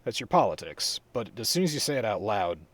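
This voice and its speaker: A man's voice: lowish voice, big pitch swings, brisk, fairly bright tone, dry recording. A man co-hosting a casual gaming podcast, talking bluntly and profanely with rambling restarts. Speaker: podcast co-host. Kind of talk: casual gaming news chat.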